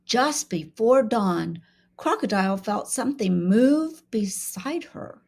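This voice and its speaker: Female storybook reader for young children; lively, varied pitch with some gravel, expressive and engaging delivery. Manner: expressive, pauses to ask questions. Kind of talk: interactive children's storybook read-aloud.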